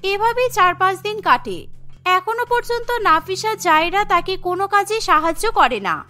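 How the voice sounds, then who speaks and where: A woman's voice: very high voice, big pitch swings, normal speed, sharp tone. A woman giving scripted narration for a cartoon story. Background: background music.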